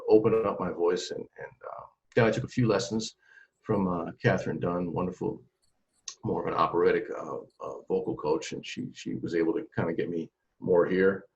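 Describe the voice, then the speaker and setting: A man in his forties talking about his music career in a casual interview, animated and full of restarts and repeated words. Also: low-pitched, moderate pace, gravelly. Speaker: trumpeter and singer, interview guest. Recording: dull lo-fi sound.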